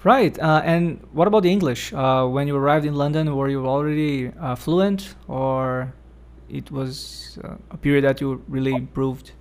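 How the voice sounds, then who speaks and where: A man's voice: mid-range voice, steady tone, normal speed. A man interviewing a guest, asking questions and giving brief encouraging responses.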